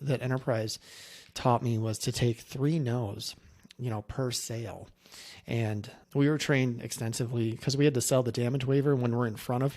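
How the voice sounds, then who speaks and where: A man's voice: mid-range voice, darkish tone. A man speaking casually as a coach, sharing anecdotes and practical advice in a conversational way. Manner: conversational, anecdotal, acting out quoted remarks. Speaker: insurance agency coach and presenter. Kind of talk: casual coaching talk to camera.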